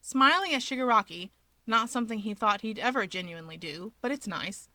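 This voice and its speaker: A woman reads a story aloud with a bright, forward voice. Her narration is expressive and conversational with a wry edge, recorded very dry with no room sound. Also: a little high, fairly quick, no echo. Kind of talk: expressive narration of fan fiction.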